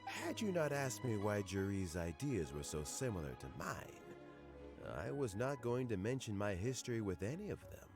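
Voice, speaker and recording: An older man voicing a wise elderly fisherman in a video game, speaking in a measured, earnest way over game music. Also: fairly low pitch, very animated. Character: wise elderly fisherman and official, calm and fair. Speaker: elder who appoints an assessment officer. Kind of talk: game voice acting.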